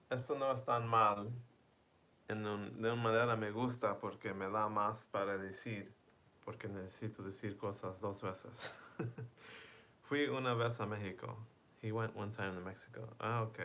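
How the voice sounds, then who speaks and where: Slow-talking man chatting with his stream. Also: lowish voice. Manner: reads chat aloud, self-translates between English and Spanish; laughs softly between lines. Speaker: livestream host. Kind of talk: casual chat with viewers.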